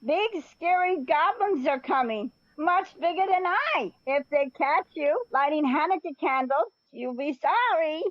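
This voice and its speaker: A woman voicing a tiny, cocky but easily frightened goblin in a storybook reading, squeaky and bossy. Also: animated, measured pace, hardly any pauses, dry recording, muffled recording. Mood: defensive warning. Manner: bossy, boastful.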